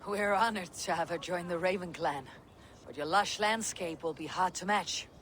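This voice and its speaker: An actress playing a steady, proud female Viking chieftain in a video game, measured, wry and courteous. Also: on the low side, a little hiss or hum, close to an everyday voice. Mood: courteous, firm. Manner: measured, wry, self-assured. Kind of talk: video game cutscene acting.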